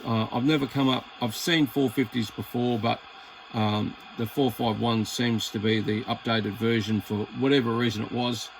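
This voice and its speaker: A man's voice: mid-range voice, steady tone, moderate pace, a little hiss or hum, poor audio. A man with an Australian accent chatting casually and hesitantly as he presents a hobby video, rambling in a laid-back way. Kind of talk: casual chat while demonstrating equipment.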